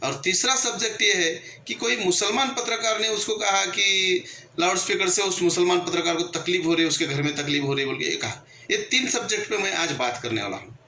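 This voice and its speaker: A man with a high-pitched, very piercing, forceful voice delivers political opinion to his channel's viewers. He argues emphatically and repeats his points. The room is clearly echoey. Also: a little fast. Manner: emphatic, repetitive, argumentative. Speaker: channel host and political commentator. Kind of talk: opinion commentary addressed to viewers. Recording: echoey room with background noise.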